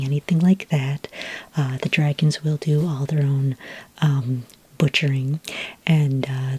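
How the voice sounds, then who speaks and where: A patient, helpful female dragonmaster, played by a woman, speaks in a low, husky whisper for an ASMR fantasy roleplay. She explains things gently and without hurry, pausing with small hesitations. The sound is close and dry. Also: no echo. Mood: helpful, calm. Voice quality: breathy, whispery. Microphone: close microphone in a dry space.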